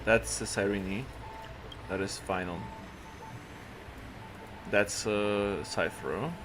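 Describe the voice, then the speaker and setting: A man's voice: fairly low pitch, very slow. A man giving casual game reaction commentary, informal and slangy, thinking aloud as he goes. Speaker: gaming streamer. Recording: loud music behind. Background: loud game music.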